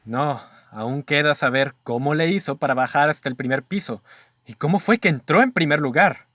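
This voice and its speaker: A man's voice: a little high, moderate pace, fairly bright tone, no echo, studio quality. A man doing a doubtful, formal companion. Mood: questioning. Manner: questioning, formal and earnest. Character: doubtful male companion weighing the case. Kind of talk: radio drama acting.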